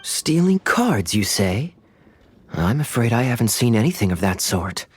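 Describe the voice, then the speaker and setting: An actor playing a suave, crafty young knight in a video game, smooth, teasing and unhurried. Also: male voice, mid-range voice, sing-song, no echo. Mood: curious, sly. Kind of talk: animated game voice acting. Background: faint music.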